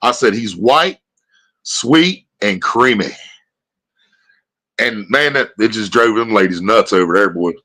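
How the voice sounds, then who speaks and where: A man's voice: lowish voice, unhurried, pauses often, bright, forceful tone. A man hosting a livestream. He chats casually and tells rambling stories full of swearing. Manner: profane, rambling storyteller. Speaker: livestream host.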